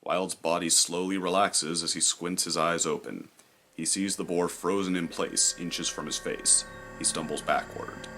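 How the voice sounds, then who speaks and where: A man's voice: low voice, steady tone, unhurried, background noise. A man reading scripted, dramatic third-person story narration for a fantasy audio drama.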